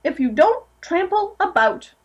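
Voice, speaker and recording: A woman voicing a kindly but firm old boatman who speaks in slow, measured warnings. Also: very high voice, very animated, pitched above the performer's own voice, heavily acted.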